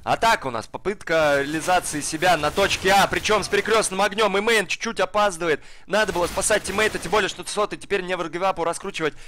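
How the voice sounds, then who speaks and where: A hoarse man commentating a live online game match in a casual, slangy way, speeding up during the action. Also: high-pitched, fast, hardly any pauses, bright, forceful tone, a little hiss or hum. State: hoarse from the cold. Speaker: esports commentator. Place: cold flat at home.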